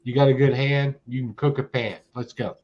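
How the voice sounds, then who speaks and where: A man's voice: medium pitch, fairly even intonation, moderate pace. A man in his forties hosting a casual chat, hyped and egging others on. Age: 40s. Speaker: host. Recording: dull lo-fi sound with faint noise.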